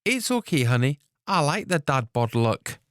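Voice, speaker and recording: A man's voice: a little high, big pitch swings, no echo, pitched above the performer's own voice. A teasing young girlfriend with a raised, lilting voice, as a male narrator puts her on in an audiobook reading. She drawls her playful jabs, and the recording is close and dry. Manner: teasing, drawn-out smirking lines.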